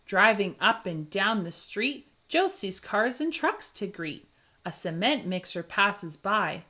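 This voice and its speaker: A woman reading a children's picture book aloud with expressive, rhythmic phrasing and dramatic emphasis for young listeners. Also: medium pitch, takes it slowly. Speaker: children's story reader.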